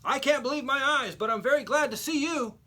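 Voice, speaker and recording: A man voicing an excitable, grateful old lady in a children's storybook, with an exclaiming, emphatic delivery. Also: extremely high pitch, brisk, pitched above the performer's own voice. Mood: amazed relief. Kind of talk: children's storybook read-aloud.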